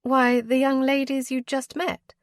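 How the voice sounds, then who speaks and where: A woman voicing an eager young gentlewoman with a brisk, cheerful manner. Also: a little high, big pitch swings, dry recording, studio quality, voice pushed up.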